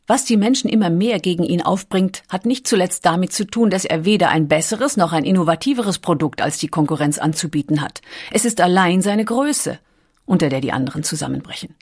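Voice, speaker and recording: Lowish-voiced female documentary narrator. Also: lively intonation, fairly quick, darkish tone, husky. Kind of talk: scripted narration.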